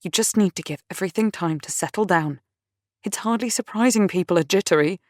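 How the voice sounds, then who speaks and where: A woman's voice: lowish voice, darkish tone, dry recording, clean studio sound, voice pushed up, close to an everyday voice. A woman voicing a patient friend who speaks carefully and holds back her temper. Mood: restrained. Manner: measured, restrained.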